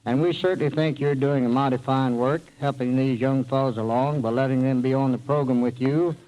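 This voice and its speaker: A mid-pitched man speaks as a small-town mayor guesting on a radio show. His delivery is courteous and plain-spoken, in prepared banter. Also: fairly even intonation, brisk, dark tone, highs cut off, a little hiss or hum.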